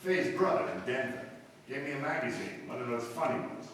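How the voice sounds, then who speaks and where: A man's voice: mid-range voice, expressive, a little fast, a bit bright, close to an everyday voice. Chatty detective sidekick played by a man, very echoey. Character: chatty, homespun married male police detective sidekick. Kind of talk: 1950s radio police drama acting. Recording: distant, echoey hall with long reverb, hiss.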